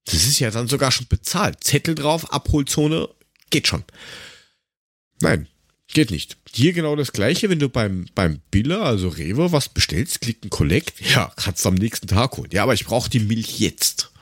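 A man's voice: medium pitch, big pitch swings, normal speed, slightly rough, dry recording, clean studio sound. A man with an Austrian accent telling anecdotes in a casual chat as a podcast co-host, blunt, colloquial and grumbling.

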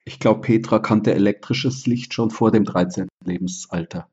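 Male panelist, low and husky, dry wit, lo-fi remote audio. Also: fairly even intonation, moderate pace, mellow. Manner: dry, joking remarks. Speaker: author on a podcast panel. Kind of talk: casual remote chat.

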